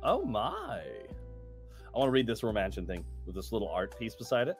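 A man's voice: lowish voice, huge pitch swings, a little fast, soft background music. A man co-hosting a live stream, chatting casually and reading aloud. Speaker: stream co-host.

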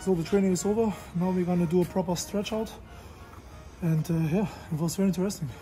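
Guy in his 30s vlogging at a muay thai gym, high voice, music under him, blunt jokey talk. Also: fairly even intonation, fast, mellow. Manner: blunt, joking, non-native English. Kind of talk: casual vlog talk.

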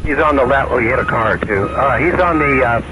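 A man's voice: mid-range voice, brisk. A man working in airport ground operations, reporting informally over a two-way radio. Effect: two-way radio transmission.

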